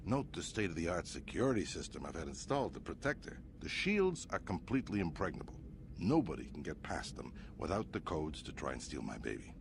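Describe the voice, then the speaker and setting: Deep, swooping, pompous crime boss. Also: male voice, big pitch swings, brisk, creaky, background noise, exaggerated voice. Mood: boastful. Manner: pompous, theatrical, suavely threatening. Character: smug, imperious male crime boss, outwardly gracious, threatening. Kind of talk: dramatic video game acting.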